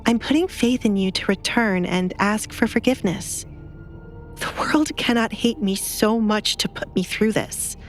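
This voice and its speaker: A woman's voice: mid-range voice, a little slow, faint music behind, voice pushed up, lightly acted. A woman voicing a bitter, defiant young noblewoman in an audio drama, blunt and sarcastic, with light background noise. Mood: bitter, yearning.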